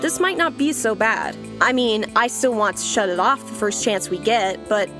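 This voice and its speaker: An actress plays a sardonic woman fed up with her digital afterlife. Her voice is a little high, with a dry, blunt, bossy delivery in a scripted comedy drama, and music is clearly heard underneath. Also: moderate pace, natural voice. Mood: dryly hopeful.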